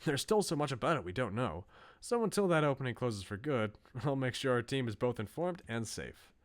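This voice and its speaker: A man voicing an earnest, bookish researcher from a visual novel in a measured, formal, serious way. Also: medium pitch, very animated, lightly acted. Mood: hushed, serious.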